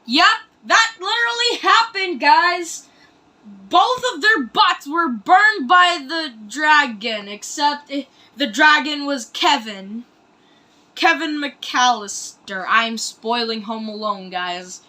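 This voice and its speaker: A woman hosting a reaction video, commenting casually in an excitable, exclamatory, rambling way. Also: high voice, takes it slowly.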